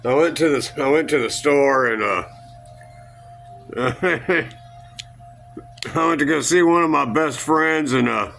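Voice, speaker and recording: A man with a loud, gravelly voice, rambling through a personal story and acting out remembered conversations. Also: on the high side, unhurried, pauses often, soft background music. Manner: acts out both sides of remembered conversations.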